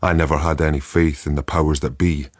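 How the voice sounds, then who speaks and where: An actor playing an embittered, brooding ex-soldier bent on revenge in a radio drama monologue, terse and deliberate. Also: male voice, rumbling low, steady tone, no echo. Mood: cold, vengeful. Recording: muffled, with loud background noise.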